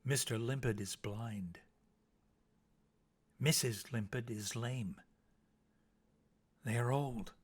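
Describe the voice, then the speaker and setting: A man's voice: mid-range voice, slow pace, long pauses, slightly rough. A man reading children's stories aloud as scripted narration, in a theatrical, emphatic way that savours the words. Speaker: storybook reader.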